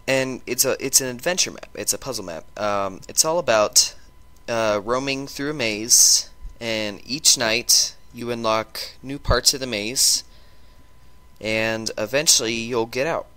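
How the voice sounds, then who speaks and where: A man with a sore throat and a stuffy nose, chatting through a gameplay video and talking in a halting way with lots of ums and restarts. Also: mid-range voice, pushed and bright, smooth. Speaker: gaming YouTuber. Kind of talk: casual gameplay commentary.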